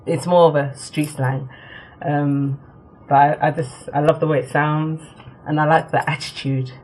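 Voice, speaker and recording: A young woman born in Nigeria talks casually to the camera, answering questions one by one. She fills her pauses with ums and laughs easily. A faint hiss sits under the recording. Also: low voice, darkish tone, poor audio. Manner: chatty, with many ums and quick laughs. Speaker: vlogger.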